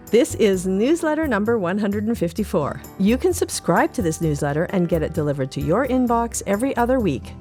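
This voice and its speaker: A woman's voice: mid-range voice, mellow. A woman with a Canadian accent reading a scripted newsletter in a light-hearted way for a podcast.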